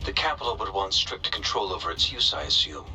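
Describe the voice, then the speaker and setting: Sharp, bright-edged pragmatic weapons expert. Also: male voice, low-pitched, expressive, a little fast, faint music behind, full character voice. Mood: matter-of-fact, dry. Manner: matter-of-fact, clipped technical explaining. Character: brisk, pragmatic male weapons specialist, dryly skeptical. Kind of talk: scripted video game cutscene acting.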